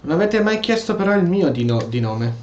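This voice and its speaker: A man's voice: fairly high pitch, big pitch swings, fast, darkish tone, roomy sound, lo-fi sound. A man who is a native Italian speaker, hosting a gameplay video with role-play commentary and read-out dialogue choices. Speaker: gaming video host.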